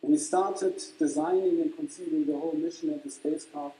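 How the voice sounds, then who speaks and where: A man, chief engineer of a space mission, explaining it in a measured, explanatory way in a documentary interview. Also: a little high, steady tone, mellow. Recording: roomy video clip with light hiss.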